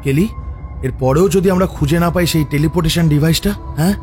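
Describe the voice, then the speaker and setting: A man's voice: a little high, quick pace, darkish tone, faint music behind, lightly acted. An actor playing an uneasy male explorer in an audio drama, hesitant and questioning. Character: uneasy male explorer, companion in a dark temple. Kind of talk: dramatic audio story acting.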